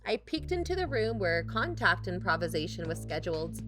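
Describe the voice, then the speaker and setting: A woman's voice: fairly low pitch, brisk, over music. A woman playing a sociable, slightly flustered aunt in an audio drama, chatty and conversational. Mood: wry, chatty. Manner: animated, chatty. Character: sociable, flustered aunt covertly looking around.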